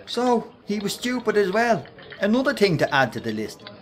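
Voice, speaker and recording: A cocky workplace bully in a radio play. His voice rides high and swings about freely, full of mockery and taunts. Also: big pitch swings, normal speed. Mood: jeering. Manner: taunting, mimics stammers. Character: loud, mocking male workplace bully. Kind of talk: radio drama acting.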